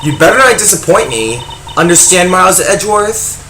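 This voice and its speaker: A woman's voice: on the low side, expressive, normal speed, noisy background, lightly acted. A woman voicing an imperious young female prosecutor in a game fandub, haughty and menacing.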